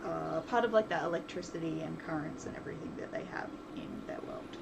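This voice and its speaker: A woman's voice: low-pitched, brisk, creaky. A woman livestream host chatting casually while she works, rambling and often trailing off mid-thought. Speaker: livestream host, visual novel developer. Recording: loud background noise, amateur livestream.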